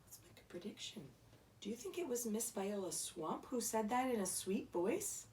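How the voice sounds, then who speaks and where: A woman reading a children's story aloud in an expressive, instructive way, pausing to ask the listener questions. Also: a little high, recorded from a distance, a little hiss or hum. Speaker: story reader, teacher.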